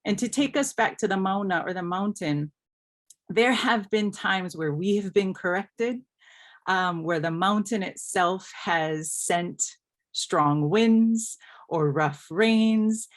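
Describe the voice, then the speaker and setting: A woman's voice: medium pitch, slow pace. A woman from Hawaii speaking as a scholar on a panel, earnest and reflective, repeating phrases for emphasis. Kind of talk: reflective panel talk with storytelling.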